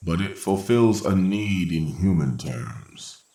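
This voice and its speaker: A man acts as a composed robot caretaker in a radio play, with a low voice that rings in a roomy echo. The phrasing is formal, logical and very unhurried. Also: lively intonation, mellow, full character voice. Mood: calm, explanatory. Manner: measured, formal, matter-of-fact. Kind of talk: old-time radio science fiction acting. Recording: roomy, echoing voice.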